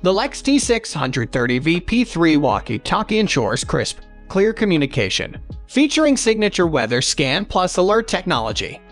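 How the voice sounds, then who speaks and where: A man's voice: high voice, big pitch swings, normal speed, a bit bright, faint music behind. A man reading a scripted countdown of product reviews as a narrator.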